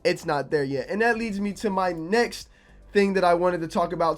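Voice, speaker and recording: Homem jovem com voz um pouco rouca. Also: male voice. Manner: conversational, repeats himself, low energy. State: a little raspy from being sick. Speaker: gaming YouTuber. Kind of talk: casual opinion vlog to camera.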